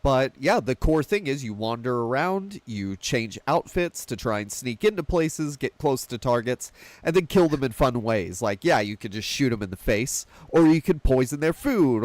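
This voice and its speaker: A man's voice: mid-range voice, a little mellow, no echo. Dry, close-sounding man hosting casually. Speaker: podcast host. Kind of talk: casual film discussion chat.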